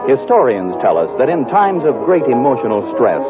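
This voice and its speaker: A male radio announcer reading scripted narration in a smooth, wry, declamatory way. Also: mid-range voice, big pitch swings, normal speed. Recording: tinny 1940s radio broadcast with music.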